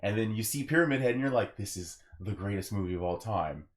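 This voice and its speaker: A man's voice: fairly low pitch, lively intonation, quick pace. Young man hosting a casual podcast. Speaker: podcast host. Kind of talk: casual opinionated gaming podcast discussion.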